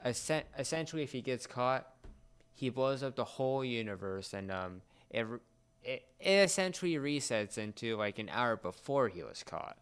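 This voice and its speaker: A young man co-hosting a casual pop culture discussion, explaining things in a halting, searching way. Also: mid-range voice, big pitch swings, fairly bright tone, clean tone. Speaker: podcast co-host.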